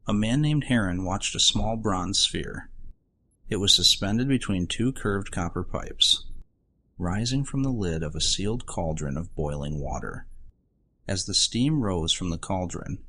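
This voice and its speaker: A man reading a scripted sleep story in a soothing, measured manner. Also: deep voice. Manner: slow, soothing, measured delivery. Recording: faint ambient drone noise behind voice. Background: soft ambient drone.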